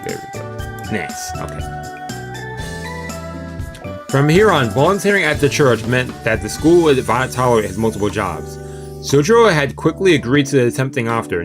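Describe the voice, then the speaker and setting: A man narrates a visual novel for a let's play at an ordinary mid pitch. He slips into casual asides and trips over long words now and then. Music plays behind his voice. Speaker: streamer reading a visual novel aloud. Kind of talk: let's play commentary and read-aloud narration. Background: video game music.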